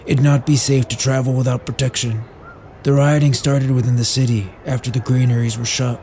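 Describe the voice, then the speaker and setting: A man's voice: mid-range voice, flat delivery, fairly quick, dark tone, slight background noise, close to an everyday voice. A man playing a loyal, worried aide in a fantasy audio drama, reporting bad news cautiously. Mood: grave, worried. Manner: informative, cautious.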